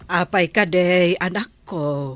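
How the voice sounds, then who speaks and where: Slow-spoken worried Ilocano mother. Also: fairly low pitch, fairly bright tone, dry recording, soft background music. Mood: worried, advising. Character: concerned, advising older village mother. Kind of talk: Ilocano radio drama acting.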